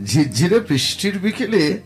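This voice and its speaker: A man's voice: high voice, big pitch swings, a little slow. A man playing a flippant, sceptical young husband in an audio drama, affectionately mocking and theatrical, dropping in English words. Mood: relaxed, bantering.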